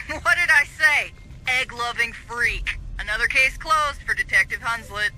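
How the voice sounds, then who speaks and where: Smartass space marine, really high sharp voice, cocky and needling, radio sound, video game. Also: male voice, measured pace, heavily acted, low-quality recording. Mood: smug. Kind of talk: video game cutscene dialogue.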